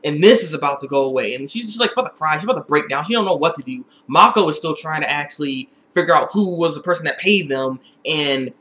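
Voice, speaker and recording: High-pitched, bright-voiced young man. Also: fast, few pauses. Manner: excitable, animated, repeats phrases for emphasis. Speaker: TV episode reviewer. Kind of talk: casual video recap and review.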